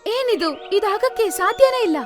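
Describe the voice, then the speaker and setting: A woman's voice: very high-pitched, expressive, moderate pace, over music, voice pushed up. A woman voicing a commanding, magical queen of birds in a cartoon story. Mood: baffled.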